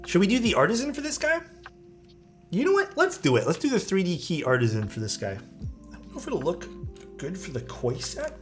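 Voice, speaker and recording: A man's voice: sits high, wildly swooping pitch, brisk, faint music behind. A young man hosting a livestream, chatting casually and rambling to his viewers from a small rented room. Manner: rambling, easygoing, talks to chat. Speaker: livestream host building keyboards. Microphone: streaming mic.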